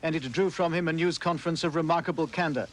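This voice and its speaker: A man narrating a scripted television news report as a correspondent, measured and a little wry. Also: on the high side, a little hiss or hum. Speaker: foreign correspondent.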